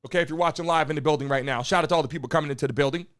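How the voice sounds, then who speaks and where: Brash, high-voiced male live-stream host. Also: very fast, heavy background noise. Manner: hype-man shouting at the chat. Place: home studio in Miami.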